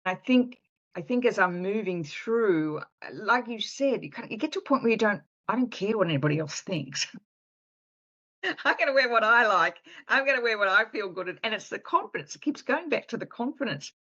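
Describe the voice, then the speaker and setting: A woman's voice: medium pitch, animated, brisk, pushed and bright, lo-fi sound. A middle-aged woman hosting an interview, chatty and enthusiastic, often restarting her sentences. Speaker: podcast host. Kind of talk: casual interview chat.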